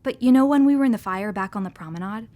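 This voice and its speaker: A woman's voice: mid-range voice, fast talker, mellow, natural voice. A woman playing a laid-back, wry young crewmate on a space station, speaking casually in a sci-fi audio drama. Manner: casual, understated.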